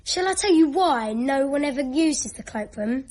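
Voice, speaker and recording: A woman's voice: high-pitched, animated, normal speed, darkish tone. A female performer playing a bossy child who tells gruesome secrets in a radio drama. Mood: secretive.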